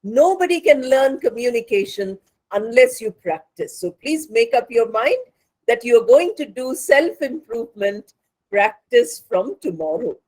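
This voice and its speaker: A woman's voice: a little high, big pitch swings, a little slow, a little mellow, low-quality recording. A woman with an Indian accent and Bengali as her native language, giving an instructional lecture as a spoken English teacher, didactic and repeating points for emphasis.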